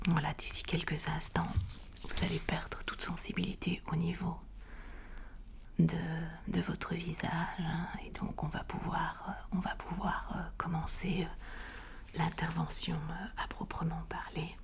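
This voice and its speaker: A woman plays a calm, methodical female cosmetic surgeon in an ASMR medical roleplay. She speaks in a breathy whisper with a low, even melody, gently reassuring the patient as she goes. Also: a little slow. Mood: calm, attentive.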